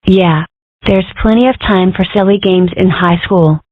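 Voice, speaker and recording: A woman's voice: medium pitch, unhurried, no room sound, lightly acted. A woman voicing an opinionated, philosophical ten-year-old girl in a cartoon comic dub, earnest and wordy. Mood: playful. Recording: muffled band-limited audio.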